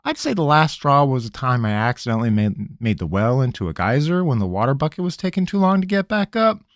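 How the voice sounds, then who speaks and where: A man voicing a nervous, rambling young farm-boy mage with a high, twangy, folksy voice. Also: sing-song, fairly quick, no echo, studio quality, close to an everyday voice. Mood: rambling excuses. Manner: jittery, wheedling, folksy.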